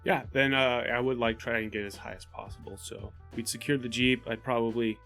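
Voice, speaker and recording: A man's voice: medium pitch, steady tone, a little fast, vocal fry, a bit bright, faint music behind. A man playing a tabletop roleplaying game, chatting casually and hesitantly as he thinks his moves through aloud.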